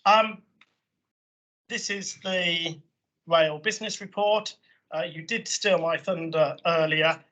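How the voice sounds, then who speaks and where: A man with a croaky voice, a councillor presenting a report at a committee meeting in a chatty manner with informal asides. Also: fairly high pitch, fairly even intonation, slow pace, pauses often, bright, forceful tone. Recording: muffled lo-fi meeting audio.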